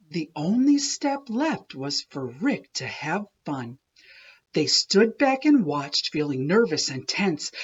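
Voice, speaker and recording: Woman, children's read-aloud, very lively pitch, unhurried sing-song rhyme, slightly dull recording. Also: breathy edge. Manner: expressive, deliberate, sing-song rhyming delivery. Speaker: children's book reader. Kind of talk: animated storybook read-aloud of a rhyming tale.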